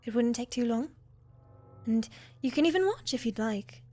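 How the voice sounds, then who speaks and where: Woman acting a shy, high-voiced witch's apprentice. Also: expressive, moderate pace, mellow, faint music behind. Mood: dutiful. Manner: polite, hesitant, earnest, eager to please. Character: shy, eager, sweet young female witch's apprentice. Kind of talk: cinematic ASMR fantasy roleplay.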